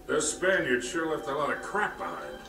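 An actor playing a gruff, wisecracking older treasure-hunting mentor in a video game, folksy and teasing. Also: male voice, a little high, very animated, moderate pace. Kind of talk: video game cutscene acting. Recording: roomy, distant game audio with music.

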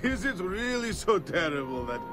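A blustering Italian father in a video game. His accented voice is high and hugely animated, under loud music. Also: moderate pace. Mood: coaxing. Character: outraged, blustering Italian father of a young woman. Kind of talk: video game character acting.

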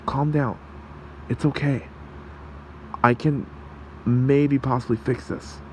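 A man playing a gentle, protective fox shapeshifter in human form in an ASMR roleplay, hesitant and soothing in his manner. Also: mid-range voice, fairly even intonation, slow, a little hiss or hum, lightly acted. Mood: urgent, soothing.

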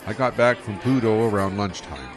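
Man doing a casual young ship pilot, low voice, music under him. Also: normal speed, crowd noise, close to an everyday voice. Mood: easygoing. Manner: laid-back, casual. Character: easygoing young male starship pilot.

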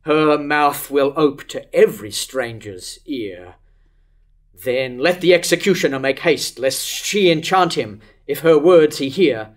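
A man's voice: fairly high pitch, a little slow, natural voice. An older man playing a wavering, lovesick king in a declamatory staged reading of verse tragedy. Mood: lovesick, tormented. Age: older, about 60s to 70s. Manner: declamatory, rhetorical questioning. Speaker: actor reading Herod in a staged play reading. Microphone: stand microphone at a lectern.

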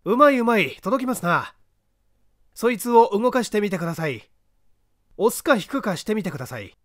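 A man's voice: high-pitched, sing-song, moderate pace, fairly bright tone, dry recording, clean studio sound, voice pushed up. A man voicing a coolly confident male detective in an audiobook, politely commanding and explaining. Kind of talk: audiobook character dialogue.

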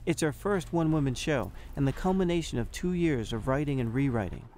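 A man giving scripted documentary narration in a measured, even voice. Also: medium pitch, slight background noise. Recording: narration over background music.

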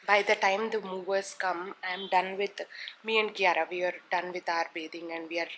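A woman's voice: lowish voice, steady tone, moderate pace, fairly bright tone, slight background noise, rough recording. Chatty woman vlogging at home. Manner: chatty, rambling with many fillers and restarts. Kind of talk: casual vlog update to camera. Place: living room at home, indoors. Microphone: phone or camera at arm's length.

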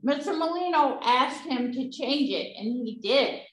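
A defensive woman with a fairly high voice insists on finishing her point. She speaks in an echoey town hall room. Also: lively intonation, measured pace.

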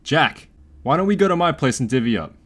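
A man voicing an eager, polite teenage newsboy in a fan audio drama, talking in a lively way. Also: a little high, brisk.

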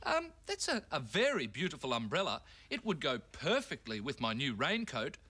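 A man's voice: fairly high pitch, huge pitch swings, normal speed, bright, forceful tone, husky, full character voice. A man voicing Mister Morris, a polite and fussy male toy, in a children's story.

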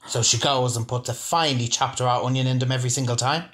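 A man acts out a simple, earnest Irish ex-prisoner in a radio comedy. He talks in a mild, deadpan way, as if killing were an everyday matter. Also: mid-range voice, fast, slight background noise, lightly acted. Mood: earnest, aggrieved. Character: mild, matter-of-fact male ex-prisoner who killed his mammy. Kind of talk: comedy radio drama acting.

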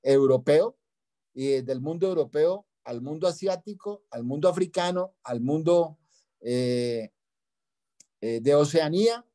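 A man, hosting a formal online presentation in a deliberate, didactic way with rhetorical questions. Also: a little high, animated, mellow. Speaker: project presenter and meeting host. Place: indoors, video call.

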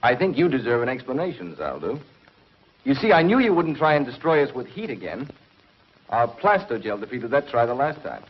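An actor playing a confident, wry space hero, cool and taunting, in a 1950s TV sci-fi serial. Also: male voice, medium pitch, very animated, a little fast, lightly acted. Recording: dull 1950s TV soundtrack.

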